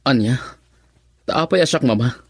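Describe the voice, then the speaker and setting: An actor as an exasperated young son in an Ilocano radio drama, mid-pitched and recorded dry. He argues earnestly and stubbornly, with an Ilocano Filipino accent. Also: moderate pace, husky, no echo, natural voice. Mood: defiant.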